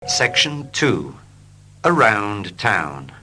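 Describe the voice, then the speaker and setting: A man reading short English dialogues slowly and clearly for learners, line by line. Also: fairly low pitch, rough recording. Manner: slow, clear and expressive. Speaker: English teacher. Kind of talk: slow scripted reading for learners.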